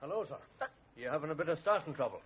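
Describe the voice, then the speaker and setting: An actor playing a genial but firm police constable, dryly polite, in an old radio drama. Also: male voice, fairly high pitch, lively intonation, fairly bright tone, husky. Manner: dryly polite, unhurried authority. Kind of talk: 1950s radio drama acting. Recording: muffled old radio recording with noise.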